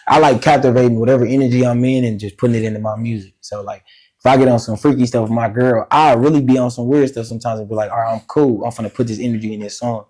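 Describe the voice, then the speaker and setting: Raspy-voiced male guest, loose and slangy, easy podcast chatter. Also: medium pitch, steady tone, quick pace, warm tone. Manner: laid-back, slangy, laughs often. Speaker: musician guest. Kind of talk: casual podcast interview.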